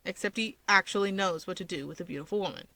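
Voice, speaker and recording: A woman voicing a gruff, cocky young male wizard in a story reading, blunt and sardonic as he teases. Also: on the low side, fast, sharp tone, no echo, voice pushed down. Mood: dry teasing. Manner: blunt, sardonic, self-assured. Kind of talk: fan fiction character reading.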